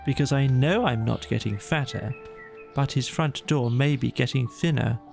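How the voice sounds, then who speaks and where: A man voicing a gentle, slow-witted male teddy bear who muses aloud and sings little songs. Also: medium pitch, vocal fry, faint music behind, natural voice. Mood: musing. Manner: dreamy, musing, sing-song.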